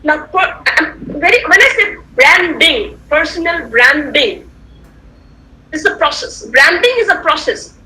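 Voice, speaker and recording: A woman whose first language is Tamil, giving an animated online lecture to students, repeating points and checking that they follow. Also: high voice, measured pace, slight background noise, poor audio. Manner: animated, repetitive, rhetorical questions to listeners. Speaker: guest lecturer. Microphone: computer mic on a video call.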